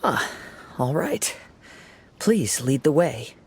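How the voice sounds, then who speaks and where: A man playing a calm, courteous young wandering swordsman in a video game, speaking in a measured, formal, composed way. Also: medium pitch, background noise. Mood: calm, concerned. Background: game music.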